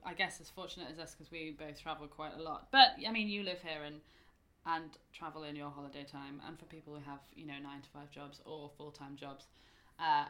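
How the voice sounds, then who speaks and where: A British woman hosts a travel podcast with an eager, chatty manner and an easy laugh. Her voice sits a little low but has a bright, forceful edge. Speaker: podcast host. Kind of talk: casual interview chat. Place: indoors in a home.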